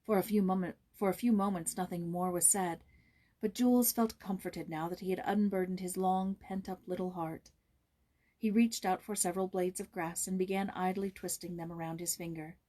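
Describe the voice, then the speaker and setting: A woman narrating a children's storybook as scripted, expressive reading. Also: fairly low pitch, normal speed. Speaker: audiobook narrator, voice actor.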